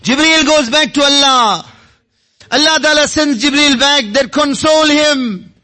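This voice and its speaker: Male preacher, very high and bright voice, emotional sermon delivery with insistent repetition. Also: unhurried. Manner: emotional, repeats phrases for rhetorical emphasis. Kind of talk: impassioned Islamic sermon with Arabic quotations.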